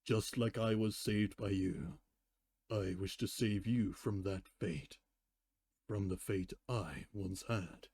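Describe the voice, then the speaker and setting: A man's voice: on the low side, steady tone, slow, creaky, darkish tone, husky, no echo, voice pushed down, heavily acted. A man voicing a grave, compassionate female pony ruler in a fan fiction reading. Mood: solemn, pleading.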